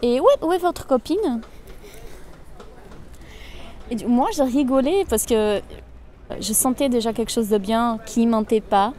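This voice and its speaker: A woman's voice: on the high side, moderate pace, dark tone. A woman from Brazil with a Brazilian accent, a Portuguese native speaker, telling a story and searching for words. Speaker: interviewee.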